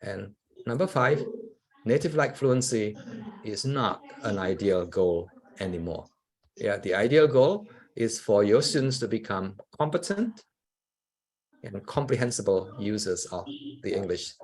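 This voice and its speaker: Relaxed Indonesian-accented male lecturer. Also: fairly low pitch, unhurried, husky. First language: Javanese. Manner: relaxed, conversational, frequent "yeah" tags, mixes in Indonesian. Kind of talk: public lecture.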